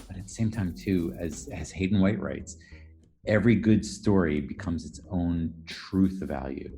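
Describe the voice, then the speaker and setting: A man's voice: deep voice, unhurried, warm tone, faint music behind. A man speaking as an academic interviewee, explaining ideas in a thoughtful, articulate way and rephrasing as he goes. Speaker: academic interviewee, architectural historian and professor.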